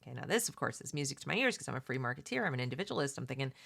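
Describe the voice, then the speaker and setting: A woman's voice: rumbling low, big pitch swings, rapid-fire, creaky, a bit bright. A woman hosting a read-along, mixing reading aloud with casual, opinionated commentary in an emphatic, conversational way that addresses listeners directly.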